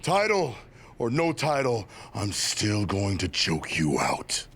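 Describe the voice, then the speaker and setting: An actor playing a bitter, threatening male wrestling villain in a video game, with a gravelly voice. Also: on the low side, wildly swooping pitch, fairly bright tone, a little hiss or hum, full character voice. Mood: menacing, bitter. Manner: slow, threatening. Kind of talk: video game cutscene acting.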